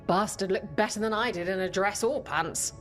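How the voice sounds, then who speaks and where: An actress playing a brash, hearty adventurer in a video game cutscene, blunt and theatrical. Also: female voice, mid-range voice, moderate pace, faint music behind, lightly acted. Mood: boastful storytelling. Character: brash, hearty woman adventurer telling tall tales.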